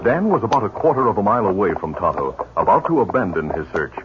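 A man giving measured, dramatic scripted narration for a 1950s radio western. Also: lowish voice, big pitch swings, music in the background. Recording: muffled old radio broadcast with hiss.

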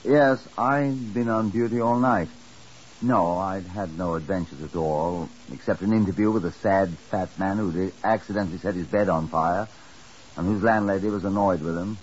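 Low-voiced, wry police inspector played by a man. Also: close to an everyday voice. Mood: weary, wry. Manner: dry, measured, literary understatement. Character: weary, wry male police chief inspector. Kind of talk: 1950s radio drama narration. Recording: muffled, hissy old radio broadcast.

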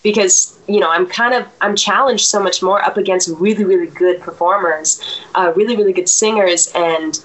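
A woman's voice: medium pitch, steady tone, moderate pace, a bit bright, poor audio. A woman in her thirties, a singer, talking reflectively in a casual interview with many fillers and restarts.

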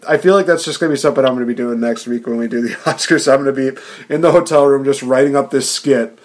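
A man hosting a podcast, chatting casually, excitable and laughing often, with frequent restarts. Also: medium pitch. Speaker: podcast host. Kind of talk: casual chat.

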